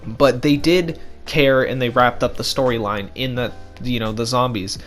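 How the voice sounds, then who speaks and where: A young man talks through a scratchy, hoarse throat in a casual gaming commentary. His delivery is a frustrated, repetitive rant at a middling pitch. Soft background music plays beneath him. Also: steady tone, normal speed.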